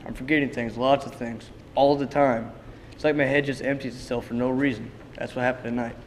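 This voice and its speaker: A man's voice: medium pitch, little pitch movement, a little fast, close to an everyday voice. Man acting anxious, confused man, echoing hall. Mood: distressed. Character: Jeremy, frightened, confused man losing his memory. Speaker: drama student actor. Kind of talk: dramatic reading of a stage play. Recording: reverberant hall with background noise.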